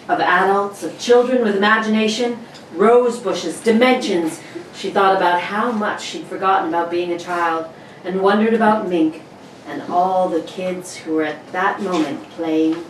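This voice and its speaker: A woman's voice: on the low side, measured pace. A woman narrating a scripted radio drama as storyteller. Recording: roomy, distant mic, faint noise.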